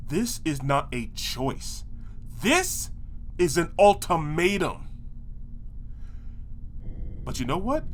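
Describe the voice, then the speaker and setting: Guy doing a whiny sarcastic cartoon pony dude, reluctant hero, pitch all over the place, bit of noise behind. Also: fairly bright tone. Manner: sarcastic, whiny, exasperated, stammering protests. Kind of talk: comedic audio drama acting.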